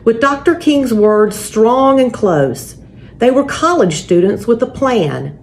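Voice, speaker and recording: A woman hosting a story time and reading to families in a measured, deliberate, expressive way. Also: fairly high pitch. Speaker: church story time host and reader. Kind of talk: children's picture book read-aloud with spoken introduction. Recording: faint background noise.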